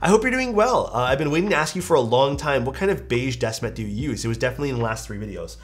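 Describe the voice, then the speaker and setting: A man's voice: mid-range voice, animated, rapid-fire. A young man with a stuffed-up, nasal voice, chatting casually on a live stream while he builds a keyboard and reads out chat. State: stuffed-up nose.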